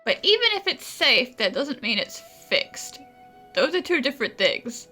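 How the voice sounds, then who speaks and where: A woman's voice: high voice, very animated, unhurried, bright, forceful tone, faint music behind. A woman hosting a game playthrough, giving casual, wry, questioning commentary. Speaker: gaming video host.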